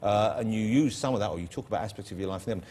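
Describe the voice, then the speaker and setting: Middle aged london guy, chat show host, rattles off quick jokes and teases the guest. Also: fairly low pitch, a little mellow, a little hiss or hum. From East London. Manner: quick, playful, teasing. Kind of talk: joking chat show interview. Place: TV studio with audience.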